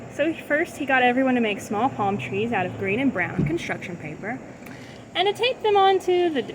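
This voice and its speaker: A woman with a fairly high voice reads a children's story aloud as its narrator. There is faint ambient noise behind her. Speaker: storybook reader. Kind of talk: children's book read-aloud.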